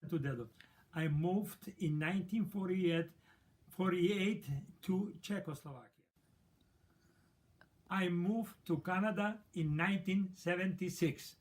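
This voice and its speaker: Slow-talking older immigrant man. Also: high voice. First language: not English. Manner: halting, non-native grammar. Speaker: interviewee. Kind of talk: interview recollection.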